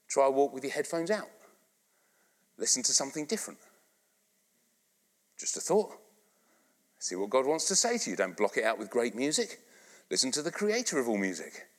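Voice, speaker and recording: Fired-up man preaching in a reverberant hall. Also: mid-range voice. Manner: animated, conversational, asks the congregation to repeat lines. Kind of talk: sermon. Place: church hall, live congregation.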